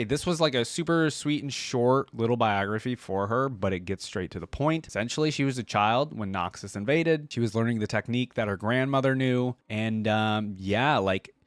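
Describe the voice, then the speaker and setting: A man's voice: on the low side, dry recording. A man hosting a reaction video, reading lore aloud and commenting in an informal, exclamatory way. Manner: informal, filler-heavy, exclamatory asides.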